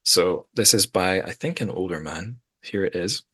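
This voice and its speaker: Male podcast host, deep register, wry and discursive, casual discussion with some hesitant phrasing. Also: animated, moderate pace, fairly bright tone, husky, dry recording.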